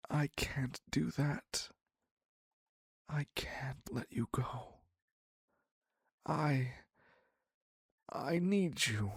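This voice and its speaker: A man playing an obsessive, needy captor devoted to his captive in an intimate dark romance roleplay, husky and halting, often dropping into a whisper. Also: medium pitch, no room sound, studio quality, exaggerated voice. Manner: halting, pleading, very slow with long pauses.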